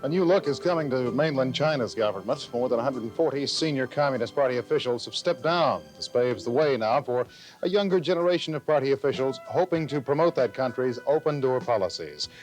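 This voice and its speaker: A man anchors a 1980s local TV newscast, reading stories in a medium-pitched voice. Light music plays faintly under the broadcast. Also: lively intonation, a little fast, rough recording. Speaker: news anchor.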